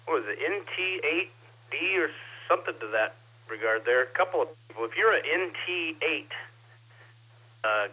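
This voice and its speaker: Ham radio net controller. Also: male voice, on the high side, lively intonation, moderate pace, breathy edge, phone-quality sound. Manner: friendly, calls people brother. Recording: radio transmission.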